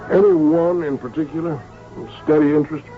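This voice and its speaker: An actor playing a brisk, probing police inspector with a gravelly voice in an old-time radio drama. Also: male voice, on the high side, fairly even intonation, faint music behind, natural voice. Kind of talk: old-time radio drama acting with first-person narration. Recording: dull old radio recording.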